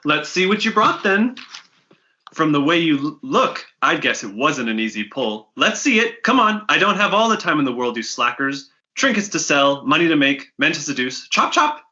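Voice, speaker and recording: A man voicing a brisk, flirtatious woman fence in a comic, bossy and mocking, with a bright voice. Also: fairly high pitch, very animated, muffled recording. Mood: impatient, bossy.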